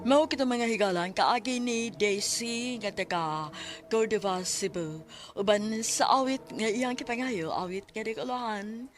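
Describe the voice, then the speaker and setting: A woman's voice: fairly high pitch, measured pace. A woman with a Filipino Cebuano accent giving scripted narration as the presenter of a radio drama. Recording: loud music under the voice.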